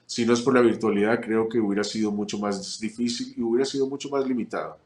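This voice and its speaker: A man from Chile, a family constellations teacher, answering interview questions reflectively, with frequent pauses and restarts. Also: lowish voice, fairly even intonation, darkish tone, roomy sound. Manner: reflective, many fillers and restarts. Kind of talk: relaxed interview conversation.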